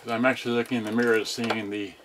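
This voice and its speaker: A man's voice: mid-range voice, flat delivery, rapid-fire. A man giving a casual unboxing demonstration, talking half to himself in a distracted way as he handles things. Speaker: product reviewer. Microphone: camera on tripod, varying distance. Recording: clearly noisy home recording with clicks.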